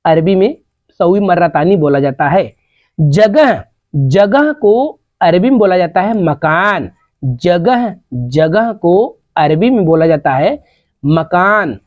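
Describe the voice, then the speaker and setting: Slow, lively-pitched woman teaching phrases. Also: no echo. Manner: repeats each phrase several times, slowly and deliberately. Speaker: Arabic language teacher. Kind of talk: slow repetitive vocabulary lesson. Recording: dull, lo-fi sound.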